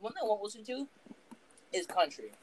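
A young man with a slightly raised voice talks casually on a podcast. He swears constantly and rambles through animated stories. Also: sits high, brisk, dry recording, rough recording. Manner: profane, rambling, animated storytelling. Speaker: podcast co-host.

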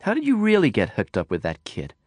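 A man's voice: on the high side, huge pitch swings, fairly quick, a little mellow, dry recording, voice pushed up. A man voicing a brash, hard-drinking woman in an audiobook, blunt, profane and sneering. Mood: drunk chatter. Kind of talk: audiobook character voice.

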